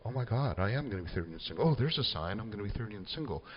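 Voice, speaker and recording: A man's voice: lowish voice, expressive, very fast, husky. A man voicing an anxious young woman who frets that she will stay single, in a whiny sing-song way. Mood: fretting. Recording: echoey, dull lo-fi room sound.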